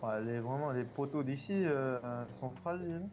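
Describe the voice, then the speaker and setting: A man's voice: medium pitch, normal speed. A man casually role-playing a police instructor online, giving colloquial directions over game voice chat. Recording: dull lo-fi game voice chat, noisy.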